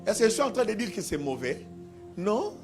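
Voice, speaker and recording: A man preaching with a Congolese French accent, his voice pitched high and swinging widely as he punches out short emphatic phrases. Soft music hums in the background of the church. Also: very animated, fairly quick. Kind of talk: sermon with live interpreter. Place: church in Kinshasa.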